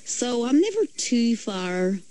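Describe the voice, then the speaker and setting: A woman's voice: a little high, very animated, slow, mellow, rough recording. A woman with a Derry accent, chatting as a singer guest in a radio studio, rambling along with lots of fillers and restarts. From Derry, Northern Ireland. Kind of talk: casual radio interview chat.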